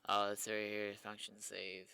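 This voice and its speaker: Slow, crisp-voiced man muttering while coding. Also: lowish voice, completely flat delivery, pushed and bright, dry recording. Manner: muttering to himself in short fragments. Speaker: game developer streaming coding work. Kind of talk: casual thinking-aloud while programming.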